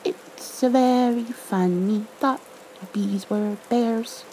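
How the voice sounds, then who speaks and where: A woman's voice: a little high, slow, mellow, low-quality recording. A woman voicing a naive, earnest, honey-loving little male bear from a storybook, musing in a singsong way. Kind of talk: storybook read-aloud character voice. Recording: faint background noise.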